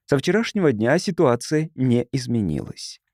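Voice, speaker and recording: Male audiobook narrator with lively intonation. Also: medium pitch, normal speed, no echo, studio quality. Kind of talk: scripted literary narration of science fiction.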